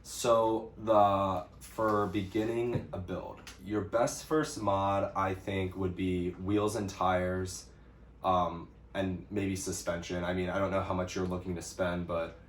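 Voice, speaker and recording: A man's voice: low-pitched, flat delivery, takes it slowly. A young American man chatting casually as he answers questions for an off-road vlog, easygoing and a bit hesitant. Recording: roomy echo with some background noise.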